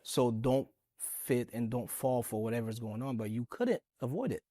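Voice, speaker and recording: A man's voice: medium pitch, normal speed, dry recording. A man from Haiti, speaking as a guest in a discussion and telling his story earnestly with lots of "it's like.". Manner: earnest, animated storytelling, many "it's like" fillers. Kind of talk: conversational discussion.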